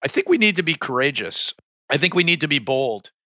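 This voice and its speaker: A Canadian man in his fifties speaks as a CEO guest on a business podcast. His tone is bright and forward, and he sounds upbeat and earnest, often restarting a phrase. The recording is dry and close to the mic. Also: fairly high pitch, very animated, a little fast, no room sound. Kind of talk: podcast interview.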